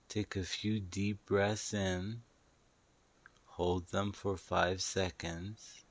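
A man's voice: sits low, fairly bright tone, no room sound. A man narrating a guided meditation in a soothing, instructive, hypnotic manner, repeating short phrases.

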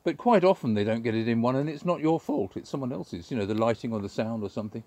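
A man's voice: mid-range voice, expressive, quick pace, warm tone. A man, a puppeteer, talking reflectively in a casual interview with lots of loose asides. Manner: reflective, loose, full of fillers like "you know".

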